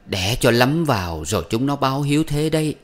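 A man's voice: mid-range voice, animated, brisk, voice pushed up, natural voice. A man voicing a furious, domineering older mother-in-law who scolds and wails melodramatically. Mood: raging, wailing. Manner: scolding, wailing, self-pitying.

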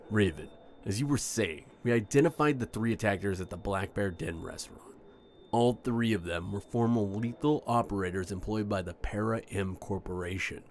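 A man's voice: on the low side, moderate pace, slight background noise, lightly acted. A man playing a weary, irritable FBI special agent in an audio drama, curt and official. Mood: exasperated.

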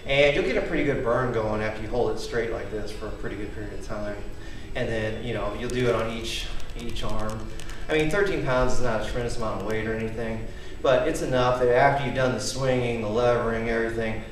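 A man's voice: mid-range voice, fairly even intonation, brisk, hardly any pauses, far from the mic, a little hiss or hum. Chatty man in an echoey garage. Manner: rambling, chatty, keeps asking "okay?". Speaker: home fitness vlogger. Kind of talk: casual demonstration and storytelling. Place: large wood-paneled garage at night. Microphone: camera mic from across the room.

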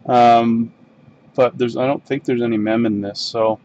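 Slow-talking Canadian man breaking card packs. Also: mid-range voice, steady tone, warm tone, muffled recording. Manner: unhurried, mumbling card names while opening packs. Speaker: box break host. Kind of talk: casual live-stream card break commentary. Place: desk in a home card room.

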